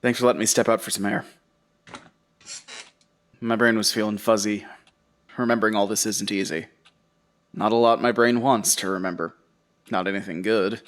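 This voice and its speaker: An actor playing a weary, uneasy survivor who tells his story in a halting, confessional way for an audio drama. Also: lowish voice, steady tone, a bit bright, close to an everyday voice. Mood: weary, reflective. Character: weary, uneasy male survivor recounting a horror ordeal. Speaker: narrator and lead character. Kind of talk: audio drama first-person narration.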